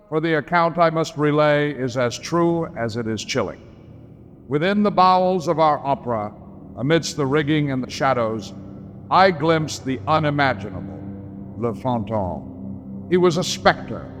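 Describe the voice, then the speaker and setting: A man's voice: on the high side, lively intonation, unhurried, faint music behind. An actor playing a tormented man who recounts seeing a phantom, in a florid, dramatic gothic monologue. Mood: dread, urgent. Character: tormented theatre storyteller, horrified witness of a phantom. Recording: reverberant hall with clear background ambience noise.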